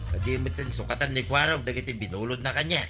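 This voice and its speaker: A man's voice: mid-range voice, quick pace, creaky, music in the background, lightly acted. An actor playing an aggrieved, gossipy village man in an Ilocano radio comedy drama, with an Ilocano accent and a complaining, exclamatory manner. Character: aggrieved, gossipy village man demanding his lost things back.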